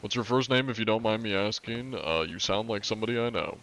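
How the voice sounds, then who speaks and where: Man in his 20s, streamer, low voice, rambling, filler-heavy, faint background hum. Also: quick pace. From northern United States. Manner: rambling, filler-heavy, reading chat aloud. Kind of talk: casual live-stream chat while gaming.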